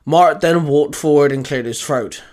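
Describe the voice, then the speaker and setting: A man's voice: a little high, moderate pace, a little mellow. A man narrating a scripted fan fiction adventure story. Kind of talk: scripted narration with character dialogue.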